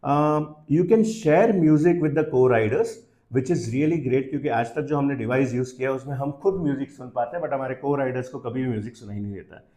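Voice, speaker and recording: A man's voice: mid-range voice, moderate pace, hardly any pauses, warm tone. A man with an Indian accent giving casual, improvised unboxing commentary as host, switching freely between two languages mid-sentence. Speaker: host of a product unboxing channel.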